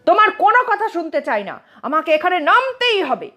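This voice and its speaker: A woman's voice: very high voice, very animated, a little fast, pushed and bright, pitched above the performer's own voice, exaggerated voice. A woman voicing a proud, exasperated king in a comic audio storybook, speaking in an emphatic, commanding way. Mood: angry.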